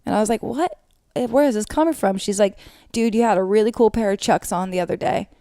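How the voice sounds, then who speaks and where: A young American woman with a loud voice, telling stories as a co-host in casual, animated podcast chat and swearing freely. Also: medium pitch, fast, dry recording, clean studio sound.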